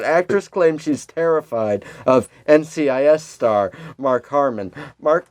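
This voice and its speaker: A man's voice: medium pitch, darkish tone, clean tone, no room sound. An American man co-hosting a podcast, chatting casually in a joking, rambling way and often prone to laughing. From United States. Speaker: podcast co-host. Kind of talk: casual comedic chat.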